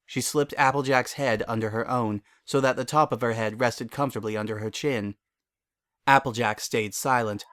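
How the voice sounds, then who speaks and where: A man's voice: medium pitch, a bit bright, no room sound. Guy narrating a story, mid-range voice, really dry sound.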